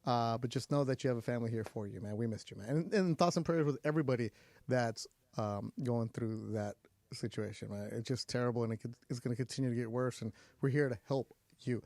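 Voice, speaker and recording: A man hosting a postgame radio call-in show who gets loud and high whenever he's fired up. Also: fast talker, no room sound. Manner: excitable, jumps high in pitch when fired up. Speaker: radio sports show host.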